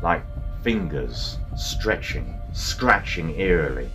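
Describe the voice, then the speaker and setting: A man narrating a dramatic scripted story in a measured, portentous manner. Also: deep voice, expressive, vocal fry. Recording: voice over music.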